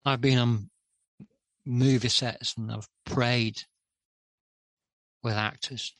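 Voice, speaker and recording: A middle-aged man speaking candidly as a podcast guest, hesitant with many pauses and restarts. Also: medium pitch, steady tone, fairly bright tone, dry recording. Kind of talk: candid interview talk.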